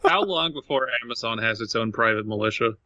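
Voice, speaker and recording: Man, podcast host, bright edgy voice, medium pitch, sarcastic, sweary casual chat. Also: animated, brisk, no echo.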